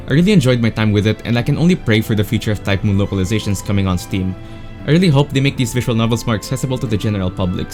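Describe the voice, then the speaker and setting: A man giving an enthusiastic, opinionated video game review as a voice-over. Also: on the low side. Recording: voice over background music. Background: music.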